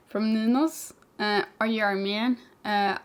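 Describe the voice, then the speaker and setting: A woman's voice: fairly high pitch, fairly even intonation, slow pace. A young woman from Sweden with a mixed Swedish-English accent, answering viewer questions in a rambling, chatty vlog. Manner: chatty, rambling, many "like" fillers, laughs easily. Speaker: vlogger, motorcycle rider. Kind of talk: casual Q&A chat.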